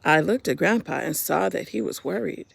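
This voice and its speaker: A woman with a heavily creaky voice reads aloud for young children. Her delivery is expressive and simple, turning to address the little listeners directly. The sound is close and dry. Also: on the low side, normal speed, dry recording. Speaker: storyteller reading a picture book to children.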